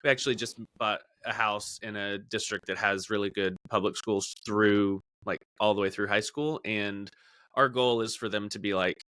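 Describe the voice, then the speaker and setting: A man's voice: lowish voice, steady tone, moderate pace, bright, forceful tone, clear, resonant tone, dry recording. A man in his thirties chatting casually as a podcast host.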